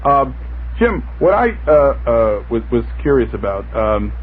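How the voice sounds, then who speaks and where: A man's voice: mid-range voice, sing-song, a little slow. A middle-aged man chatting as a radio panelist, stammering and repeating words as he goes. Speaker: panelist on a radio talk show. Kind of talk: casual radio conversation. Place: radio studio. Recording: noisy, tinny 1960s radio recording.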